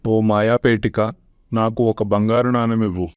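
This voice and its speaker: A man's voice: fairly low pitch, little pitch movement, unhurried, muffled recording, slight background noise, close to an everyday voice. A man playing a poor, devout farmer who longs for riches in a children's cartoon story, speaking in an earnest, pleading manner. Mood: eager.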